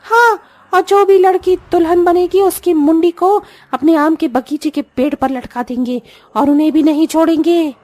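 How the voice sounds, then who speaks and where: A woman's voice: very high-pitched, fairly even intonation, moderate pace, a little mellow, dry recording. A woman voicing a bereaved mother turned vengeful witch in a horror cartoon, menacing and bitter.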